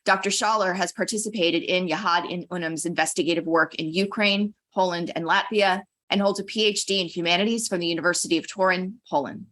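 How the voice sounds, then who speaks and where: A woman hosting an online talk, reading a measured, formal introduction from a script. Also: lowish voice, a bit bright. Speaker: center director introducing a speaker. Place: online webinar.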